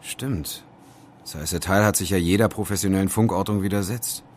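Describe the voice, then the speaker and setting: A man's voice: low-pitched, brisk, a little mellow, a little hiss or hum, close to an everyday voice. An actor playing a sceptical, teasing young male student sidekick in an audio drama, casual and wry. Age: young adult. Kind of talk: German audio drama acting.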